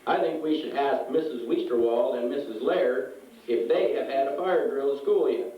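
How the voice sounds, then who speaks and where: A man's voice: mid-range voice, normal speed, natural voice. A man playing an earnest, helpful firefighter sidekick in a scripted children's safety show. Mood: earnest, instructive. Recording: echoey, dull, far from mic.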